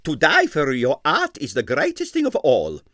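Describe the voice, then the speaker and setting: A man's voice: wildly swooping pitch, moderate pace, fairly bright tone, dry recording, studio quality. A man voicing a scheming male ballet impresario who coaxes and complains in broken English. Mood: coaxing. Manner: high, wheedling, peevish, broken English.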